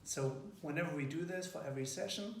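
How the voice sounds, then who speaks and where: A man's voice: on the high side, quick pace, vocal fry. A man introducing an interview project to a company team, talking hesitantly with many fillers. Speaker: interviewer leading a student group. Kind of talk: casual meeting introduction. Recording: echoey room, far from the mic, faint noise.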